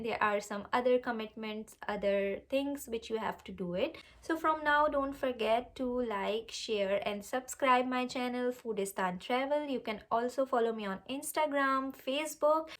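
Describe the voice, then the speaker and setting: A young woman with an Urdu accent, a cooking channel host chatting casually to camera, unhurried with many fillers. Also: fairly high pitch. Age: young adult. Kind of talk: casual direct-to-camera vlog.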